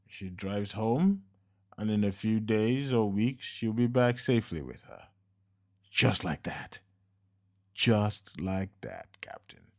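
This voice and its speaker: A suave henchman with polished manners drawls each word slowly, played by a man. His courtesy is calm and smug, with a low voice and menace underneath. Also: gravelly, heavily acted. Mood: smooth. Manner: slow, measured, courteous and smug. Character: suave, menacing male henchman, coolly polite.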